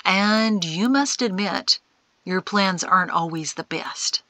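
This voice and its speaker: A calm old man speaking out of the dark in an audiobook, acted by a woman. The voice is hushed and breathy, a little low, with a slow pace. He sounds wry and gently chides. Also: no room sound. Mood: assured, chiding. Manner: unhurried, gently chiding. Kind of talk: audiobook character acting.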